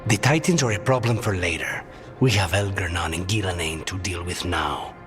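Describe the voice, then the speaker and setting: A man's voice: low voice, moderate pace, fairly bright tone, faint music behind. A man voicing a blunt, pragmatic adventurer in a fantasy video game cutscene. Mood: blunt, serious.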